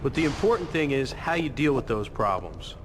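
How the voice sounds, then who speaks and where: An actor playing a matter-of-fact, seasoned bike builder in a video game. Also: male voice, medium pitch, big pitch swings, fairly quick, music in the background, lightly acted. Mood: philosophical. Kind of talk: video game cutscene acting. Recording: long reverb, clear noise.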